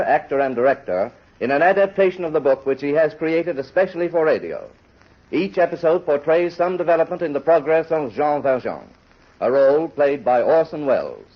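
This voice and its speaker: A man announcing a programme in a formal 1930s radio style. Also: on the high side. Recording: dull old radio broadcast recording.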